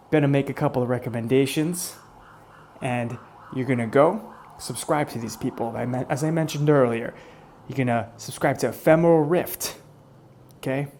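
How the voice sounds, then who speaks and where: A man doing an ASMR roleplay, speaking softly in a teasing, mock-menacing way and sometimes dropping into whispers. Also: medium pitch, a little hiss or hum. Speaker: ASMR channel creator. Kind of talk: ASMR roleplay, soft-spoken.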